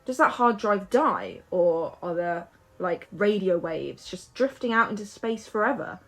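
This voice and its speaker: A woman's voice: mid-range voice, animated, unhurried, a bit bright, faint music behind. A woman acting in an audio drama as a restless, hopeful young woman who chats in a musing, playful way. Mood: musing, curious.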